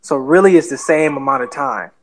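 A man's voice: mid-range voice, a little fast, slight background noise. A man in his twenties with a Bahamian accent, talking earnestly as a guest on a podcast interview. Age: 20s. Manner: relaxed, earnest, many fillers. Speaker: guest real estate investor.

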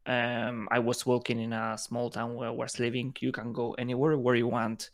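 Halting man. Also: medium pitch. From Ukraine. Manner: halting, repeats words as he thinks. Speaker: guest, software engineer. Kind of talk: casual interview chat.

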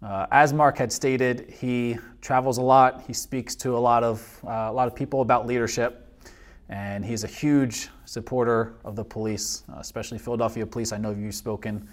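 A man who is a police officer hosting a casual podcast interview, polite and filling pauses with um and uh. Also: medium pitch, steady tone. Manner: polite, many ums and uhs.

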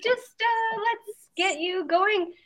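A woman chatting casually about creative work, talkative and animated in her storytelling. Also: very high-pitched, slow pace. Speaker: artist and interviewer. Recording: dull lo-fi sound.

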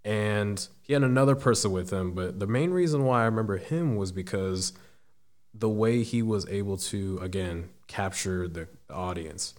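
Young man with a deep voice. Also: a little mellow. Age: 20s. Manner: thoughtful, halting, restarts sentences. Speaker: guest. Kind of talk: casual interview.

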